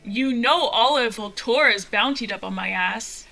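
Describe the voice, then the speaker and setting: A woman's voice: on the high side, moderate pace, gravelly, pushed and bright, low-quality recording. A woman playing a sardonic, streetwise captain in a fantasy audio drama, with a wry, skeptical, slangy way of talking. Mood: incredulous.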